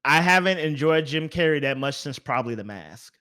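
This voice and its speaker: A man's voice: on the high side, animated, fairly quick, sharp tone. A man hosting a podcast, chatting casually about movies in an informal, emphatic way. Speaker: podcast host.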